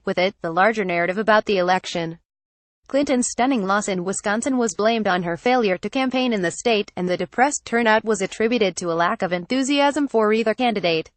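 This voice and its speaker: Woman narrator, mid-pitched, steady reading of a news feature, dead-dry booth sound. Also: no echo. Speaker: narrator reading a magazine article.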